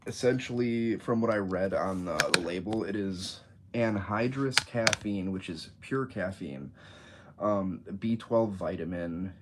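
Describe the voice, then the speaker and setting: A man's voice: low-pitched, measured pace, very noisy. A young man with a congested, nasal voice, chatting casually and rambling with jokes on a livestream from his room. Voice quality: nasal, stuffy. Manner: rambling, joking, easily sidetracked. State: congested from a cold.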